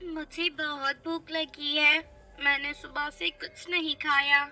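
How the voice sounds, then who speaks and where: Casting: sweet-talking captive fairy daughter woman performer, polite and clever, high bright voice, cartoon tale with faint music. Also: level tone, slow, exaggerated voice. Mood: plaintive.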